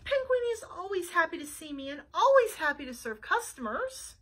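Storybook: woman plays a wailing, high-pitched penguin chef, bright tone. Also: very animated, brisk, heavily acted. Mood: upset. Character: distraught male penguin restaurant owner.

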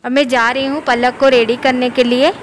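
A woman's voice: high voice, level tone, normal speed, faint music behind. A woman in her thirties chatting casually in a family vlog, mixing in English words, filmed on a phone held at arm's length. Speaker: family vlogger. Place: home and on the road, train station.

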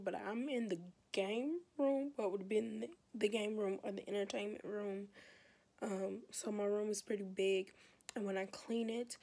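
A young American woman with a stuffy, hoarse cold voice, chatting casually and rambling in a tired way, recorded on a phone held close in her bedroom. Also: mid-range voice, warm tone. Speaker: beauty vlogger. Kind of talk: casual vlog chat. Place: bedroom, indoors.

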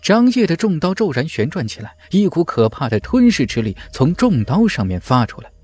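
A man's voice: a little high, big pitch swings, fairly quick, darkish tone, breathy edge. A man narrating a fantasy martial arts audiobook in a dramatic, scripted style.

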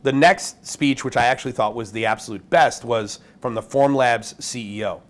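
A man's voice: medium pitch, normal speed. A man in his forties giving an off-the-cuff, opinionated recap to camera as a video host, blunt and emphatic, often checking in with the listener. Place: small indoor room with curtains.

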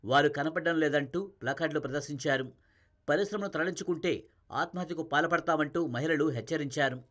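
A man reading a scripted television news voice-over in a brisk, dramatic delivery. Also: a little high, fairly bright tone. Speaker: news narrator.

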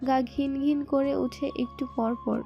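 A woman narrates a dramatic story from a script. Her voice is very high, the recording is a little muffled, and soft music plays behind her. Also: fairly even intonation, unhurried, creaky. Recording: muffled band-limited sound, music bed.

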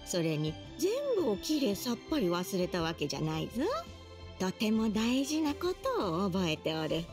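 A woman's voice: mid-range voice, big pitch swings, normal speed, natural voice. Woman as a forgetful chatty grandma. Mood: musing. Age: older. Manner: old-fashioned speech, musing. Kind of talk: Japanese game dub. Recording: music under the dialogue.

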